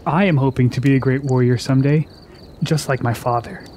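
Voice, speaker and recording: A man's voice: mid-range voice, fairly even intonation, a little fast, darkish tone, a little hiss or hum, natural voice. An actor playing an eager, boastful young man who longs to be a warrior, with formal phrasing, in a fantasy radio drama. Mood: earnest, wry. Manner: earnest, formal archaic phrasing.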